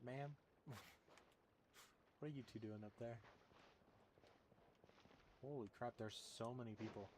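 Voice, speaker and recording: A man's voice: medium pitch, a little slow, pauses often, darkish tone, a little hiss or hum. A man giving casual, rambling gameplay commentary with bemused reactions as he streams a video game.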